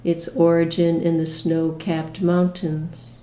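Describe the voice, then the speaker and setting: Slow, low-voiced woman guiding meditation. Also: completely flat delivery, echoey room. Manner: gentle, soothing, with long pauses. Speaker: guided meditation leader. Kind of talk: slow guided meditation with imagery.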